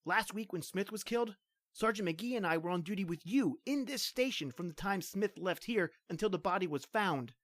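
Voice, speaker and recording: An actor playing an earnest young state trooper who insists, with a high voice, that his strange story is true. The sound is dry and close, as in a small studio. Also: animated, moderate pace, fairly bright tone, no room sound. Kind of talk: old-time radio drama reading.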